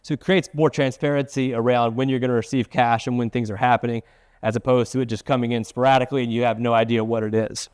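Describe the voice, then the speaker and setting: A man's voice: medium pitch, steady tone, fast talker, darkish tone, clean tone, no echo. A man with a mid-range voice presents business material. He explains points in a conversational way, checking in as he goes. The sound is dry, with very little room in it. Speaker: webinar presenter.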